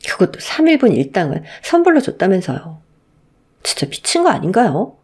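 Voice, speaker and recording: A woman's voice: mid-range voice, big pitch swings, fairly quick, darkish tone, breathy edge. A woman narrating a listener's story in the first person, in an indignant storytelling manner. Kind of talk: scripted narration of a reader's story.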